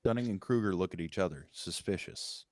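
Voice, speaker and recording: Low-voiced man reading screenplay directions. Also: brisk, a little mellow, no echo, studio quality. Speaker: stage directions reader. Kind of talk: scripted narration at a screenplay table read.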